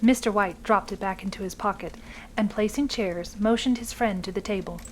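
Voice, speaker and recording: A woman's voice: mid-range voice, normal speed, poor audio. A woman narrating a literary short story from a script as a storyteller. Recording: clear background noise.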